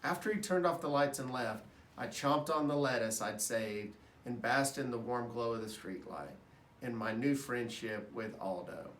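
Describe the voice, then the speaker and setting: A man giving an expressive reading of a children's story. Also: mid-range voice, normal speed, a little hiss or hum. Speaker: storybook reader at an elementary school. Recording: roomy echo, distant microphone.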